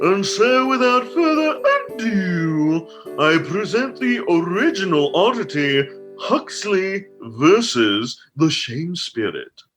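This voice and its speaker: A man playing a pompous gentleman host, narrating comedy radio theatre in a florid, mock-grand, theatrical manner. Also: high-pitched, very animated, slow pace, heavily acted. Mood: ornate, amused. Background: plucked guitar music.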